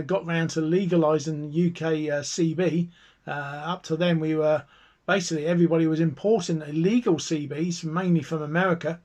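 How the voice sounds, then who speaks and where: A man's voice: a little high, no room sound. A middle-aged British man narrating an informal product history as a chatty hobbyist, with hesitant phrasing. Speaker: hobbyist video host.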